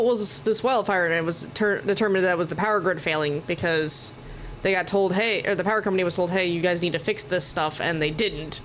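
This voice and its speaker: A woman's voice: lowish voice, a bit bright, poor audio. An American woman rambling casually and informally as she livestreams a video game, sounding a bit tired. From Oklahoma, United States. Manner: rambling, informal, occasional swearing. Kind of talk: casual chatty gaming stream commentary. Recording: noticeable background noise behind the mic.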